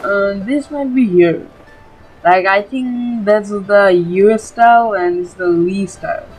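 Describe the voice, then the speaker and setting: A woman's voice: medium pitch, measured pace, darkish tone, husky, soft background music, rough recording. A woman giving casual let's-play commentary, informal and full of fillers as she reacts aloud. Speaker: gaming YouTuber.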